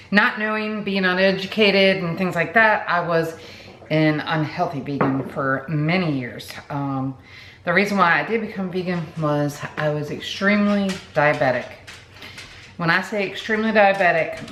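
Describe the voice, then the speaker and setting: A woman in her late forties talks casually to camera with a slightly low voice, wandering through her story with plenty of fillers and asides. She is recorded in an echoey room at home, with clear background noise under her voice. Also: a bit bright. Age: 40s. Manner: chatty, rambling, many fillers and asides. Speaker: vlogger.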